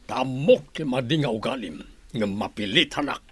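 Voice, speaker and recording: A man's voice: mid-range voice, animated, moderate pace, fairly bright tone, husky, no room sound. A man acting a bossy, scornful employer in a Filipino radio drama, with a brusque, demanding manner. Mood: needling.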